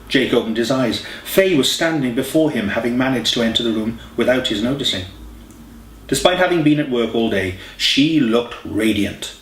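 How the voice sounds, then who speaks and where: A man reading a scripted story as an audiobook narrator. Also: mid-range voice. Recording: echoey room, distant mic, faint hiss.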